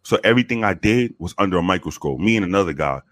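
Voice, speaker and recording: A man from the Bronx with a very deep voice, telling profane, animated stories with many restarts in a casual interview. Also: fast, no room sound, studio quality. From the Bronx, New York. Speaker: guest, former police officer.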